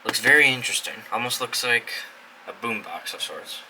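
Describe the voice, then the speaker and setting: A man's voice: mid-range voice, moderate pace, background noise. A young man giving a casual, wry and offhand unboxing commentary, swearing casually as he goes. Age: young adult. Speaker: hobbyist video host.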